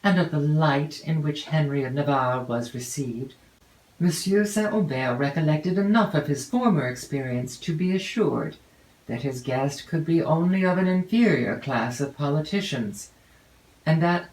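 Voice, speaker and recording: A woman reading a classic novel as an audiobook narrator, in a measured, formal way with deliberate phrasing. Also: deep voice, a little mellow. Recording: roomy, echoey home recording.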